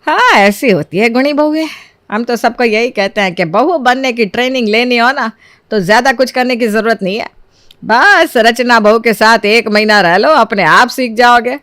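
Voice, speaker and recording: A sly elderly mother-in-law from a cartoon family tale, acted out by a woman. The voice sits fairly high and sounds very dry and close. She scolds theatrically, with sarcasm and bossy needling. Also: normal speed, a bit bright, no echo, lightly acted. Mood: needling, falsely sweet.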